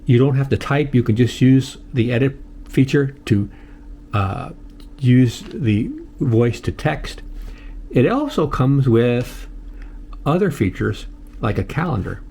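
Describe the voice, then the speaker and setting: An older American man giving a casual, unscripted tutorial in a halting, plain-spoken way, often repeating himself. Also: medium pitch, lively intonation, vocal fry, dark tone, a little hiss or hum. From United States. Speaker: host of a how-to video channel.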